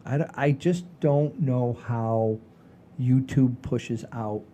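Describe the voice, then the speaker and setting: An older man hosting a casual podcast, rambling in a folksy, halting way with repeats and the odd mild swear. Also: medium pitch, mellow, a little hiss or hum. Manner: folksy, mildly profane, halting and repetitive. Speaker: podcast host.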